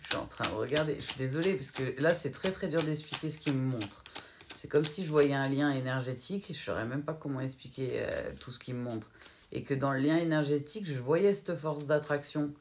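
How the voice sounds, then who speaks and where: A woman's voice: rumbling low, fairly quick, slight background noise. Rambling French woman reading tarot. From France. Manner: rambling, hesitant, talks as if relaying spirit guides. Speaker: tarot card reader. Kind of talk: casual spoken tarot guidance reading.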